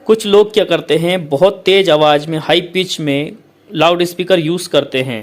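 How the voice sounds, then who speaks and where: Male tutor with a slightly high voice, patient and repetitive. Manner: repetitive, keeps saying "okay, friends"; reads English lines slowly, then explains. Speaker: English teacher. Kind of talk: explaining a letter format to students.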